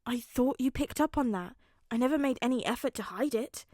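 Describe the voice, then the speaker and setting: A woman voicing a calm, reassuring teenage boy in a fan fiction audiobook, low-key and matter-of-fact. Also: a little fast, no room sound, clean studio sound, natural voice.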